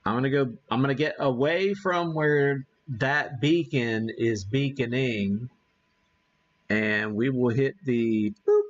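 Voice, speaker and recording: A man hosting a live hobby stream, giving a casual demo and thinking aloud in a halting way. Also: medium pitch, dull audio. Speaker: ham radio live stream host.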